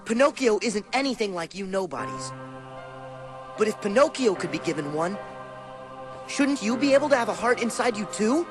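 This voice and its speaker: Teenage boy hero, game: lively intonation, eager and good-hearted, over music. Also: sits high, normal speed, long pauses. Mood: challenging, puzzled. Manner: earnest, eager. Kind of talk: animated video game voice acting.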